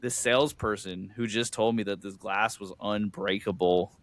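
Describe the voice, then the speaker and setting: A man's voice: lowish voice, steady tone, moderate pace. A man co-hosting a casual film review podcast, rambling with many restarts. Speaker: podcast co-host.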